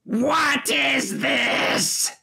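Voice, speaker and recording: Slow, creaky, malicious ice queen. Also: sits high, sharp tone, pitched above the performer's own voice, exaggerated voice. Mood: alarmed defiance. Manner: theatrical, slow, mocking drawl. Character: malicious icy queen, demonic phantom villainess, mocking.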